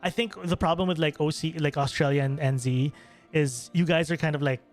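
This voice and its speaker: A man's voice: on the high side, steady tone, a little fast. A young man from the Philippines, streaming a game and chatting casually with viewers, rambling with many fillers and restarts. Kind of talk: casual gameplay stream chat. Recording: faint music behind voice.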